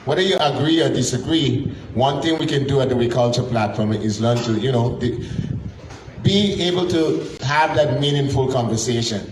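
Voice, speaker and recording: A man's voice: medium pitch, moderate pace. A man hosting a live talk night, introducing the next speaker in a rambling, informal way. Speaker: host. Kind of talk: event host introduction. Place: event room. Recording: echoey room, distant mic, noisy.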